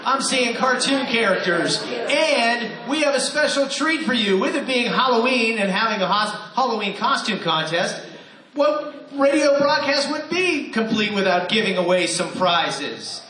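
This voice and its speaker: A man's voice: very high voice, lively intonation, unhurried, bright, forceful tone. A man hosting a live radio show as announcer, with a theatrical showman's delivery before a studio audience. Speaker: host and announcer. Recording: echoey live room with audience.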